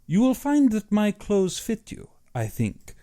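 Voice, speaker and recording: A man's voice: sits high, wildly swooping pitch, warm tone, pitched above the performer's own voice, full character voice. A man voicing a suave, courtly aristocratic Russian general, an affable but sinister hunter, in an audiobook. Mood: courteous, commanding. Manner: courtly, measured, self-satisfied.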